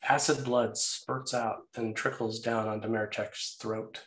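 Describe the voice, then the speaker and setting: A man's voice: lowish voice, flat delivery, a little slow, fairly bright tone. A man reading scene headings and stage directions as the narrator of a screenplay table read. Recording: roomy, echoey sound.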